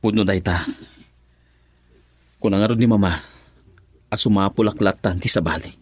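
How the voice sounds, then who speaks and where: Sheepish young Ilocano lover for radio drama, acted by a man, low-pitched, hesitant delivery. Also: moderate pace, natural voice. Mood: dejected. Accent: Ilocano Filipino. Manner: hesitant, chuckling between phrases.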